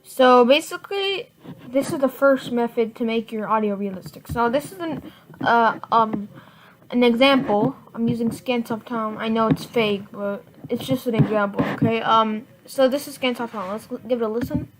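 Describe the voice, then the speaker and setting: A woman giving a casual software tutorial in informal, halting step-by-step instructions. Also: on the high side. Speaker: tutorial presenter. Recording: noisy home computer mic, clicks.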